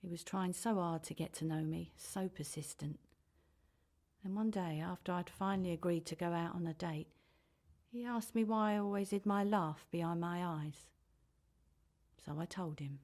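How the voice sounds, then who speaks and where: A middle-aged woman's lowish voice, wistful and full of regret. An actress plays her as an anxious mother confiding in a radio drama monologue. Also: moderate pace, darkish tone, lightly acted. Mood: reflective, pained.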